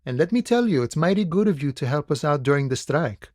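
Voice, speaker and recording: An actor playing an easygoing, philosophical union dockworker in a video game, talking in a relaxed, wry and familiar way. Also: male voice, fairly high pitch, fast, no echo, studio quality, natural voice. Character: laid-back philosophical union dockworker, easygoing, wryly friendly man. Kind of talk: video game dialogue voice acting.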